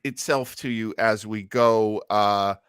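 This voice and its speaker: Casting: male host of a live chat, jokey, sharp bright voice, very close and dry. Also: on the low side, slow, smooth, no room sound. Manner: jokey, animated. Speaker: host of an online listening party. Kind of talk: casual live chat hosting.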